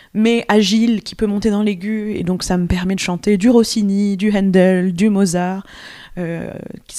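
A woman's voice: mid-range voice, dark tone, dry recording, clean studio sound. A young French woman, a mezzo-soprano opera singer, answering interview questions thoughtfully with frequent hesitations. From France. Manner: thoughtful, many hesitations and restarts. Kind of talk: radio interview.

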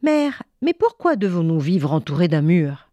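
A woman's voice: lowish voice, big pitch swings, normal speed, dark tone, dry recording, lo-fi sound. A woman storyteller voicing an eager, restless young peasant son who exclaims and pleads.

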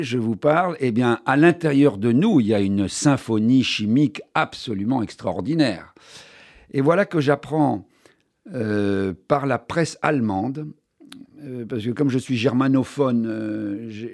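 An older man talks as an interview guest. He tells his story with animated emphasis and often restarts his sentences mid-thought. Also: mid-range voice, measured pace. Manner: animated storyteller, many restarts, stressed key words. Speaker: author and journalist guest. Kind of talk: conversational interview.

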